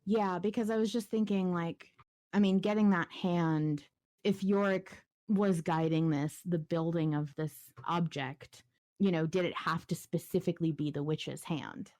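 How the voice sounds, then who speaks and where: A woman's voice: lowish voice, steady tone, a little slow, a little mellow, no echo. A woman podcast panelist talking in a casual, informal discussion.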